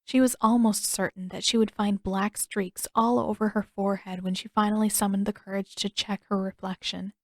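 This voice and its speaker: A woman's voice: mid-range voice, little pitch movement, normal speed, hardly any pauses, no room sound. Woman narrating fiction, dry close sound. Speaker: amateur audiobook narrator. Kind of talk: scripted story narration.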